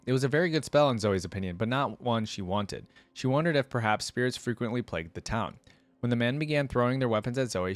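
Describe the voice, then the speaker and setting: A man hosting a reaction video, reading a story aloud and thinking out loud in rambling, casual commentary. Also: mid-range voice, no room sound.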